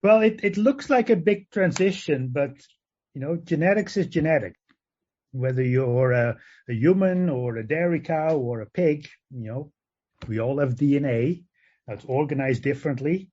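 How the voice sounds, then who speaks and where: An older man from the Netherlands with a Dutch accent, a professor answering interview questions, pausing often with fillers and restarts. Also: fairly high pitch, lively intonation, a little mellow, slightly rough. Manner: hesitant, many fillers and restarts. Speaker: guest, professor of animal genetics. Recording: dull lo-fi sound.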